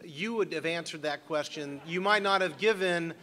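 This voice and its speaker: A man's voice: a little high, moderate pace, bright, forceful tone. A man facilitating a workshop discussion, asking questions and inviting the room to respond. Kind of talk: leading a group discussion. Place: conference room.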